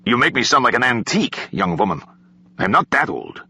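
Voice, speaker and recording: A man's voice: mid-range voice, big pitch swings, a little fast, sharp tone, breathy edge, dull audio, voice pushed up, exaggerated voice. A man voicing an aging, frustrated admiral with a Russian accent and a formal, wryly grumbling manner in an audiobook. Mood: mock indignant. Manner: formal, stilted, exasperated.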